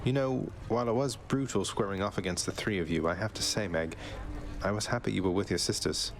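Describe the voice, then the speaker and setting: Wry young underworld prince, low voice, dry and courteous, understated game dialogue; male actor. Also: a little fast, natural voice. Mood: friendly.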